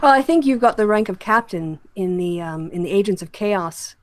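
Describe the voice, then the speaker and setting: A woman's voice: mid-range voice, expressive, normal speed. A woman hosting a discussion show, giving emphatic, critical commentary that sounds like an opinionated rant with direct address.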